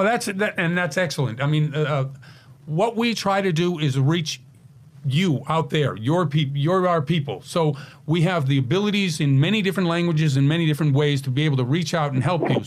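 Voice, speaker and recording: A middle-aged man hosts a radio talk show in a casual, chatty way. His voice sits a little high for a man, and he pauses often on fillers and restarts sentences as he talks. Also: a little hiss or hum. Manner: chatty, hesitant with many fillers.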